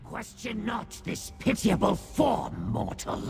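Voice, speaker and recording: A man voicing a tiny boastful demon rat in a video game, speaking in pompous, theatrical, archaic phrases with a gravelly rasp. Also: high-pitched, expressive, slow pace, noisy background, full character voice. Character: tiny boastful demon rat, grandiose self-styled terror. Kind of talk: video game character acting. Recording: game music behind the voice.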